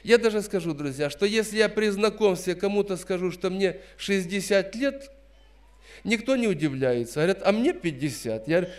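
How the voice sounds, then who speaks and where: A man's voice: high-pitched, animated, normal speed. A man in his fifties preaching a sermon in an emphatic, admonishing way, repeating phrases for stress. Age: 50s. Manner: admonishing, didactic.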